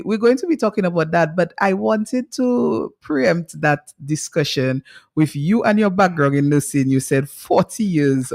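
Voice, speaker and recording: A woman's voice: deep voice, a little mellow, dry recording, clean studio sound. A woman hosting a radio health talk show, speaking in a friendly, rambling way with restarts.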